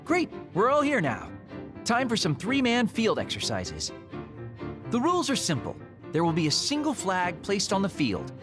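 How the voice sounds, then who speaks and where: An actress playing a peppy, showy military training organizer in a video game. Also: female voice, mid-range voice, wildly swooping pitch, normal speed, over music. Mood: upbeat, announcing. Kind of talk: English video game dub acting.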